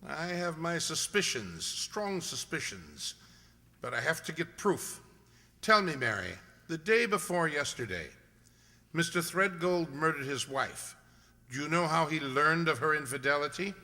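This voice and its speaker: A very sharp, forceful voice from a man, slightly high, plays a gentleman detective. He is shrewd, incisive and in command, with theatrical radio-play delivery. It rings in a reverberant hall. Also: sing-song, unhurried, exaggerated voice. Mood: measured, knowing. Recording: echoey hall.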